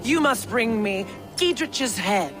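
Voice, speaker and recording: A haughty noblewoman, slow and deliberate with dramatic swings of pitch. She is scornful, vengeful and imperious, in a game cutscene with strong music underneath. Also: lively intonation. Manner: imperious, disdainful. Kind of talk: dramatic video game acting.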